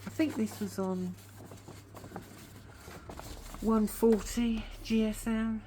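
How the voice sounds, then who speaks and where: A woman's voice: a little high, fairly even intonation, takes it slowly, warm tone, slight background noise. A woman chatting casually as a craft vlogger, rambling on with a slightly whiny voice because of a sore tooth. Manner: chatty, rambling, admits to waffling. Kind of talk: casual craft show-and-tell chat.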